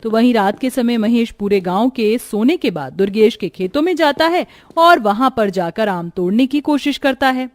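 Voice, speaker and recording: A female narrator tells a scripted tale. Her voice sits fairly high, and the recording is dry with almost no room sound. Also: expressive, moderate pace, few pauses, no room sound.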